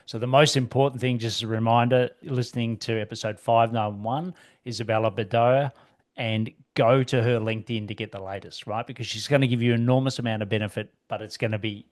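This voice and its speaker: A man's voice: medium pitch, steady tone, a little fast. A man with an Australian accent hosting a business podcast, chatty and rambling, with lots of fillers and restarts. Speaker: podcast host. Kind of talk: casual interview chat.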